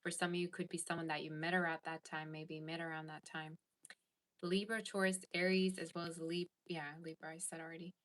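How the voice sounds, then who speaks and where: A woman's voice: low voice, little pitch movement, fairly quick. A woman giving a casual tarot reading to her viewers, chatting and answering comments as she goes. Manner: chatty, reads live chat aloud. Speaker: tarot reader.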